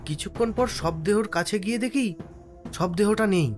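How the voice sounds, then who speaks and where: A man's voice: high voice, moderate pace, soft background music, close to an everyday voice. An actor voicing an earnest, frightened village boatman insistently telling a ghost tale in a horror cartoon. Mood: grave, fearful. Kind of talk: cartoon horror story voice acting.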